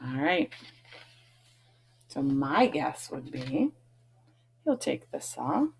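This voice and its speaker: Slow-paced woman reading a picture book aloud. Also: a bass voice, creaky, breathy edge. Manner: expressive reading, emphasizes key words, chatty questioning asides. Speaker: read-aloud host, teacher reading to children.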